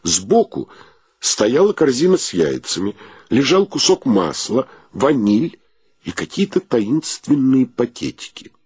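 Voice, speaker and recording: A man's voice: medium pitch, sing-song, unhurried, darkish tone, husky, no echo. A male actor playing an easygoing, wry bachelor who also narrates a radio play in a leisurely, theatrical way. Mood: wry narration.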